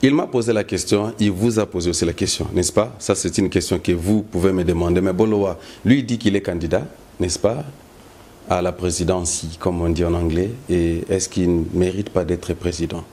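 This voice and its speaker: A man with a Congolese accent hosting a political interview, putting drawn-out rhetorical questions. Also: low-pitched, steady tone, dark tone. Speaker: host.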